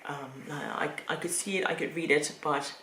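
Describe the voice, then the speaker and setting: Her voice sits low for a woman and. She talks through a book review casually and thoughtfully, pausing often as she picks her words. The room gives it a clear echo, with faint noise underneath. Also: steady tone, bright, forceful tone. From Netherlands. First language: Dutch.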